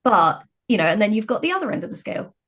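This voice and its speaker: A woman's voice: medium pitch, very animated, fast talker, fairly bright tone. A woman talking as a podcast guest in a conversational discussion, earnest and working out her thoughts as she goes. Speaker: podcast guest, game writer.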